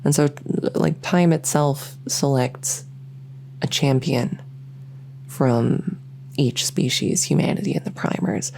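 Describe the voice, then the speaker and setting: A woman's voice: rumbling low, takes it slowly, gravelly, long pauses, a little mellow, a little hiss or hum, exaggerated voice. A woman voicing a lonely, socially awkward vampire who rambles enthusiastically in an ASMR audio roleplay. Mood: earnest rambling. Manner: rambling, enthusiastic info-dumping, many fillers. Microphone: close ASMR microphone.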